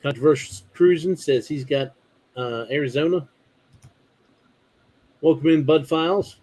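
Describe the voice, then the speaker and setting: A man's voice: fairly high pitch, a little slow, a little mellow, poor audio. Man casually hosting a livestream. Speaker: livestream host. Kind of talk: casual chat.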